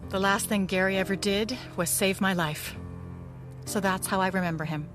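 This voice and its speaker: A woman's voice: medium pitch, moderate pace, lightly acted. A woman acting a wistful, guarded veteran ally of a vigilante in a pulp audio drama, speaking sincerely and reflectively. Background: music.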